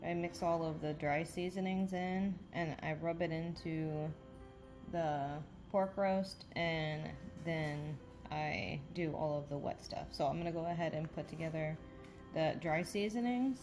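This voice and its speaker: A woman's voice: sits low, measured pace, over music. A woman in her thirties, a home vlogger, talks through a recipe step by step in a casual, instructive way. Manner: chatty, instructive, says "you're gonna wanna" a lot.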